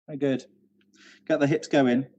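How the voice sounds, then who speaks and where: A man's voice: mid-range voice, steady tone, normal speed, dark tone, no room sound. A British man co-hosting a quiz with casual chat and announcements, recorded with a dull, muffled sound. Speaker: quiz co-host, venue manager.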